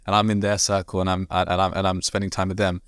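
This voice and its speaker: A man from Devon, an imam, talking casually as a podcast guest in quick, rambling sentences full of fillers and restarts. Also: deep voice, little pitch movement, fairly bright tone, dry recording, studio quality. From Devon, England. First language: not Arabic. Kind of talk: casual conversational interview.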